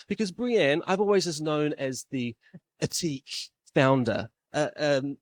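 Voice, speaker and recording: Chatty New Zealand man emceeing. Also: a little high. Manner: chatty, self-deprecating, with restarts. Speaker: event MC. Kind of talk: live event emceeing. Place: classroom-style event room.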